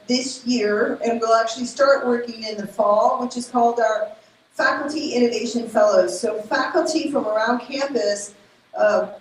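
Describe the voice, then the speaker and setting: A woman with a Spanish accent speaking at a live event, presenting programs and awards in an informal, halting way with many fillers and self-corrections. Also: fairly high pitch. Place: large event hall. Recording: very echoey hall, faint background noise.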